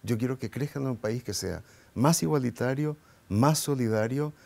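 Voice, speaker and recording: A man's voice: medium pitch, moderate pace, gravelly, dark tone. A middle-aged man from Paraguay, a guest in an interview, arguing his political views with passion and many rhetorical questions.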